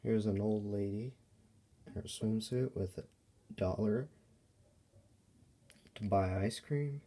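A man giving a casual, unscripted show-and-tell as a toy reviewer, speaking in halting, broken phrases. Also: lowish voice, dark tone. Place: indoors at a table by a window.

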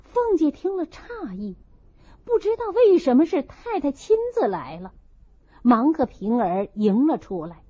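High-voiced, expressive woman storyteller. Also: a little slow, lo-fi sound. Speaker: audiobook reader. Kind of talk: expressive storybook narration of a classic novel.

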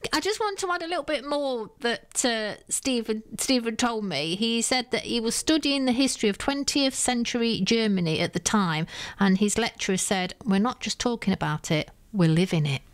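A woman's voice: on the high side, moderate pace. A woman co-hosting a radio show in casual, chatty, giggly conversation. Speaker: radio co-host.

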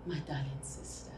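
A Nigerian woman playing a wounded, vengeful big sister in a dramatic monologue, speaking in an intense, breathy, halting hush. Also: low-pitched. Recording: echoey room, background noise.

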